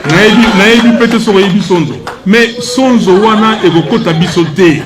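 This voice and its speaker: A man's voice: sits high, moderate pace, crowd noise. An older Congolese man whose first language is Lingala, answering a press conference question in an indignant, emphatic way. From Democratic Republic of the Congo. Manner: indignant, emphatic, switches between French and Lingala. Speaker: opposition politician at press conference.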